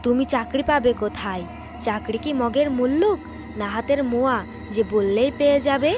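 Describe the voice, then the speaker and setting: A woman's voice: fairly high pitch, normal speed, lightly acted. A woman playing a nagging village housewife in a cartoon, with a scolding, exasperated way of talking. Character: nagging village housewife, complaining about chores and money. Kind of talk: Bengali cartoon voice acting. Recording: dull lo-fi audio under clear music.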